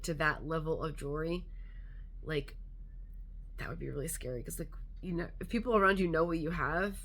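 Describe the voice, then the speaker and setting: A woman's voice: deep voice, a bit bright. A woman vlogger chatting casually to camera, congested and a little nasal, rambling with lots of fillers.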